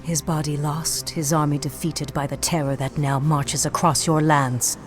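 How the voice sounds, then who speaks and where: A woman's voice: low-pitched, little pitch movement, normal speed, soft background music, natural voice. Woman as a stately, solemn elf leader. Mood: grave, mournful. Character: grave, proud female High Elf leader. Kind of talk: fantasy game cutscene acting.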